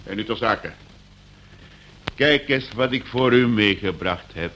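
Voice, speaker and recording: An actor playing a burly, short-tempered bully of a used-car dealer in a Dutch radio play, barking and mocking. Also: male voice, fairly low pitch, measured pace, a bit bright, highs cut off. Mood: brusque, menacing.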